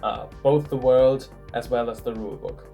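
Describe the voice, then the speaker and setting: A German man with a German accent, a game designer vlogging from his home workshop in a relaxed way, thinking aloud with frequent ums. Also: mid-range voice, normal speed, vocal fry, lo-fi sound, soft background music. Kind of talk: casual vlog talk to camera.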